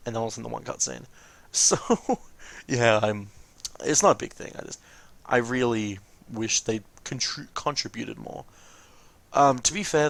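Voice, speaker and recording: A man giving casual, rambling game commentary as a let's play host, informal and often correcting himself mid-sentence, with a creaky voice. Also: medium pitch, a bit bright.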